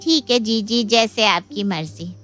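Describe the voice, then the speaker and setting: A woman's voice: mid-range voice, a little slow, faint music behind, lightly acted. A woman voicing a boastful, bustling younger sister and matchmaker in a moral story, with a gushing, persuasive manner. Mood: obliging. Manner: gushing, persuasive, animated phrasing. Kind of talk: dramatised Hindi moral story dialogue.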